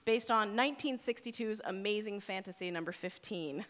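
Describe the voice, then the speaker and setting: A woman works as a live radio host, presenting and interviewing from a stage. Her voice sits at a middle pitch and carries the long reverb of a hall. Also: moderate pace, fairly bright tone.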